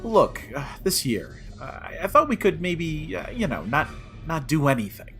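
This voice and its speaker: A reluctant, worn-out male adventurer, played by a man in a comedy audio drama. He sounds bright and highly animated, yet hesitant and stubborn, with faint music behind. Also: normal speed. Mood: hesitant, sheepish. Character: weary, reluctant bachelor adventurer, evasive but stubborn.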